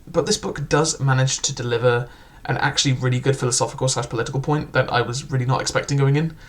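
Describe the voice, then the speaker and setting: A man's voice: mid-range voice, steady tone, quick pace. A young man casually reviewing a book, chatty and loose, with frequent filler words and restarts.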